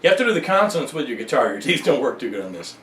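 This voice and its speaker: A man's voice: medium pitch, sing-song, racing. A man giving a casual hands-on music gear tutorial in a conversational, lightly wry way. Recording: echoey room, faint background noise.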